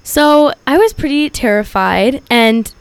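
A young woman talking casually as a guest singer in an interview, full of fillers, her voice jumping high when she gets excited. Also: measured pace, creaky, clear, resonant tone, dry recording. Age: young adult.

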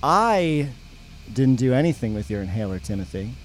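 A man's voice: mid-range voice, sing-song, normal speed, slight background noise, natural voice, rough recording. A man playing a sly, aloof teenage camper in an audio drama, evasive and given to theatrical mock-biblical airs. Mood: flat, evasive.

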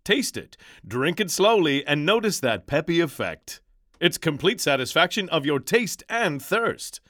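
A man's voice: fairly high pitch, big pitch swings, measured pace, fairly bright tone, no room sound, clean studio sound. An actor playing an emphatic 1930s radio sponsor announcer with a showy, old-fashioned salesman's delivery. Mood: cheery, salesy.

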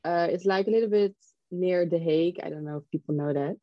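A woman's voice: on the low side, brisk, no echo, muffled recording. A young woman, chatting casually as an interview guest. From Netherlands. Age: young adult. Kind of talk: casual chat.

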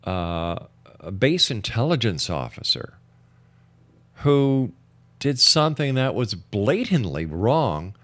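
A man hosts an interview in a slightly low voice with lively swings in pitch. He takes his time and presses his questions in a skeptical, challenging way. The sound is dry and close. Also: slow, no room sound. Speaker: interview host.

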